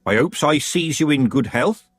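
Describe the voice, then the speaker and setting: Man doing a prim witch lady, high and sugary, dry close sound; sweet-talking, overly polite. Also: lively intonation, moderate pace, breathy edge, dry recording. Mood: honeyed. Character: prim, sugary middle-aged witch, woman.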